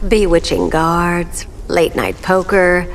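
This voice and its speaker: An actress voicing a seductive, bitter plant villainess in a video game, purring and taunting theatrically. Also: female voice, lowish voice, level tone, slow, gravelly, a little hiss or hum. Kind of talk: video game cutscene acting. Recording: loud music behind the voice. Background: music.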